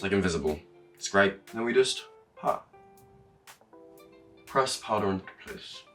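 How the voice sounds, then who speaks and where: Young male vlogger from Norway, quick and animated, casual and cheeky, mic a little far off. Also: mid-range voice. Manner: chatty and animated, swearing and laughing while explaining. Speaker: makeup vlogger. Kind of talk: casual tutorial chat.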